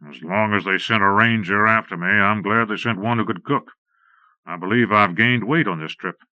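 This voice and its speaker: A man's voice: fairly low pitch, moderate pace, no echo, dull audio, natural voice. A man voicing a cocky captured outlaw leader in a western audiobook, with a wry, folksy manner. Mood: amused, teasing. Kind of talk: western audiobook character acting.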